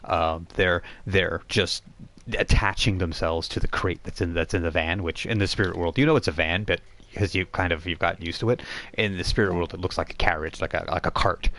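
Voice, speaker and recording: Male game master with a low voice, improvised tabletop narration, conversational and halting. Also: fairly even intonation, fairly bright tone. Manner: halting, conversational, repeats phrases while improvising. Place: live online game stream.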